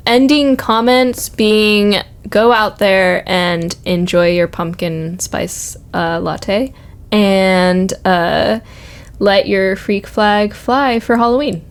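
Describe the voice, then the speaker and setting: A woman's voice: medium pitch, slow pace, smooth. A young adult woman chatting casually on a podcast, informal and reflective, feeling her way through personal stories.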